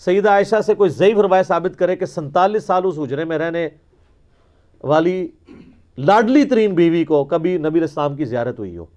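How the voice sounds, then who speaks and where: A man's voice: fairly high pitch, moderate pace, a little mellow. A man delivering an argumentative religious lecture in a mocking, rhetorical way, quoting narrations as he goes. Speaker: religious teacher answering questions.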